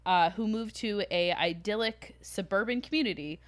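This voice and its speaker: A woman's voice: medium pitch, normal speed. A woman co-hosting a podcast, telling stories in a casual, conversational, informal way and swearing freely. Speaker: podcast co-host.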